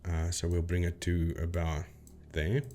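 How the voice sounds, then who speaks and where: A man's voice: low voice, completely flat delivery, unhurried, noisy background. A man hosting a casual explainer video, talking informally to viewers and thinking aloud as he demonstrates. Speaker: video host, paranormal debunker.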